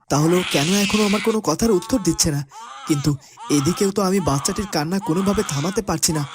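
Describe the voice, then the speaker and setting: A man's voice: a little high, background noise, natural voice. A man playing a flustered, exasperated baby-minder in a fairy-tale cartoon, fretting, complaining and calling out. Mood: worried, exasperated. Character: flustered, exasperated adult minding a crying hungry baby.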